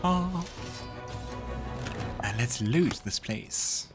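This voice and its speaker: A man's voice: mid-range voice, animated, extremely slow. A man giving casual let's play commentary and narrating scenes from a fantasy game. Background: game music.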